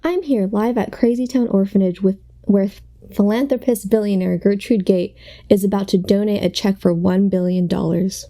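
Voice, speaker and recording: Accusatory, holier-than-thou woman reporter, acted by a woman, radio comedy, dark mellow voice. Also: medium pitch, steady tone, moderate pace, close to an everyday voice. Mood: sanctimonious, accusing. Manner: sanctimonious, interrogating. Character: self-righteous, accusatory female TV news reporter. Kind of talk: parody news report in a radio play.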